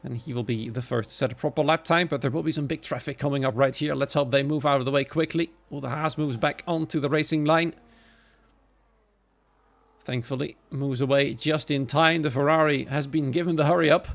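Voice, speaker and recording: A man's voice: fairly high pitch, fairly even intonation, brisk, creaky. A man from the Netherlands with a Dutch accent, a native Dutch speaker, giving live solo commentary on sim racing.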